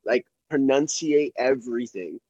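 A man's voice: mid-range voice, animated, a little slow, darkish tone, no room sound, dull audio. Laid-back rambling young man. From North Florida. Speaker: guest rapper. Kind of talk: casual podcast chat.

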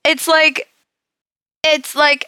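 A woman's voice: high-pitched, level tone, drawn-out words, sharp tone, dry recording, clean studio sound. A woman co-hosting a podcast in casual chat, talking expressively and emphatically with plenty of slang. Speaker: podcast co-host.

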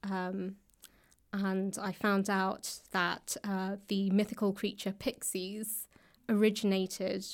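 A woman speaks as an interview guest in a conversational, thoughtful manner. Her mid-range voice stays quite even in pitch, and she pauses often as she works through her answers. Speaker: guest author and actor. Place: library room.

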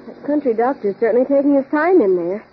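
A woman's voice: sits high, expressive, fast, over loud music. An actress playing a pert, inquisitive young woman sidekick in an old radio drama. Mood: curious. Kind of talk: 1930s-40s radio drama acting. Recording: dull old radio recording.

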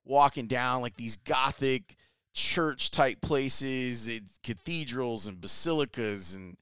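A man's voice: medium pitch, slow pace, pushed and bright, clean tone, no room sound, clean studio sound. A man casually telling a travel story in a chatty, enthusiastic way, using slang. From Chicago, US. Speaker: sports reporter.

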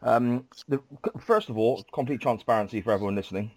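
A man's voice: medium pitch, fast talker, a little mellow, no echo. A man hosting a podcast interview in a chatty, polite way with frequent ums, sounding congested from a cold. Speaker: podcast host. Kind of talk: casual interview chat.

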